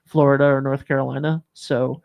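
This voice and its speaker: A man's voice: mid-range voice, little pitch movement, brisk, vocal fry, darkish tone. A man hosting a casual morning talk show, rambling with plenty of fillers and restarts. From Rhode Island. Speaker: show host. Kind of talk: casual chat.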